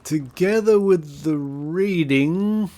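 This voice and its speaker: A man playing a shifty astrologer in a comedy podcast sketch, with halting, deliberate salesman patter. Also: fairly high pitch, mellow. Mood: slick, hedging. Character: shifty male astrologer upselling dubious insurance.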